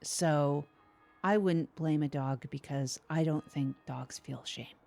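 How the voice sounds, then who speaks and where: A woman's voice: low voice, takes it slowly, gravelly, dark tone, faint music behind, full character voice. A woman playing a guileless, oversharing small-town radio host in an improvised comedy broadcast, earnest, repetitive and easily wounded. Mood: solemn. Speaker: podcast co-host.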